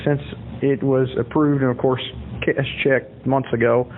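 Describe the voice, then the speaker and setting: A man in a casual phone conversation, speaking in a hesitant, halting way on a tinny phone recording. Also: mid-range voice, flat delivery, slight background noise. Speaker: caller. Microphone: phone call recording.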